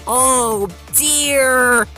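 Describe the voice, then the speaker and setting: Storybook runaway steam engine, male acted by the narrator: frantic, wailing, very high, loud and panicky. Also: very slow, faint music behind, exaggerated voice. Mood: panicked.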